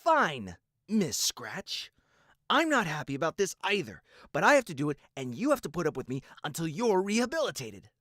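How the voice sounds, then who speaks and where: Guy doing a uptight young stallion guard, earnest and formal, very varied pitch, dry close recording. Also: wildly swooping pitch, normal speed, a bit bright, no echo, exaggerated voice. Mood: reluctant, stiff. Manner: formal, restrained, polite. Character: young stiff, dutiful stallion royal guard, earnest, exasperated.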